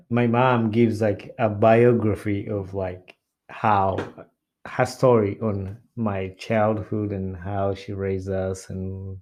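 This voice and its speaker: A man from Uganda, hosting a podcast in a relaxed, rambling way with many "uh" fillers. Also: lowish voice. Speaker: podcast host. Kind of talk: casual solo chat.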